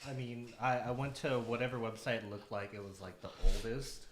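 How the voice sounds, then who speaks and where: A man's voice: mid-range voice, flat delivery, normal speed, slight background noise. A man chatting casually as a participant on a podcast. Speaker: podcast participant working the computer. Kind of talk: casual chat.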